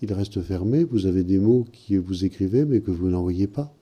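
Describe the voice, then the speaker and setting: A man hosting, asking calm probing questions and interpreting a caller's dream. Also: low voice, normal speed, husky, dull audio. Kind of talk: counselling talk.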